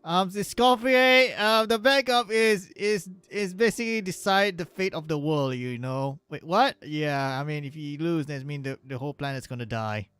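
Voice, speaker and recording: A woman's voice: low-pitched, normal speed, few pauses, fairly bright tone, clean tone, soft background music. A woman reacting to a video game on a live stream in casual, mock-dramatic, sweary commentary.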